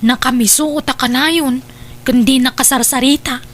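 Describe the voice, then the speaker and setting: A woman's voice: sits high, steady tone, normal speed, slight background noise, natural voice. A woman playing a young girlfriend with a sweet, pouting and demanding way in an audio drama. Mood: pouting, complaining.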